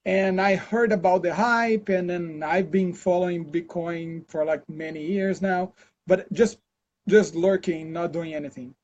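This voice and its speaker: A Brazilian man with a Brazilian accent, answering interview questions in a relaxed, chatty way full of fillers and restarts, over a video call. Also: high-pitched, measured pace. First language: Portuguese. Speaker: interview guest, scientist. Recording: dull lo-fi call audio.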